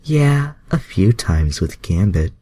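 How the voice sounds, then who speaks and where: A man's voice: on the low side, big pitch swings, takes it slowly, mellow, slightly rough, voice pushed up, exaggerated voice. A man voicing a flirtatious, confident mutant heroine, coy and teasing, for a fan fiction story.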